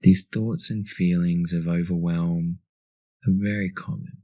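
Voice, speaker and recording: A man leading a guided meditation in a calm, soothing, instructional manner. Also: low voice, measured pace, no room sound.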